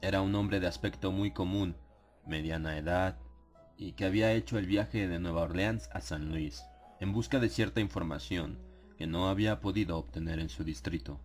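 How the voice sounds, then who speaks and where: A man narrating a dramatized horror audiobook in a measured, solemn literary delivery. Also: sits low, a little mellow. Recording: music behind the voice. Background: atmospheric music.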